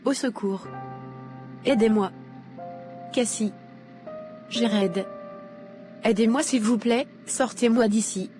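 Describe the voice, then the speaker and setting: A woman playing a frightened young woman held captive in an animated drama, pleading desperately. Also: fairly high pitch, slow, long pauses, soft background music. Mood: panicked.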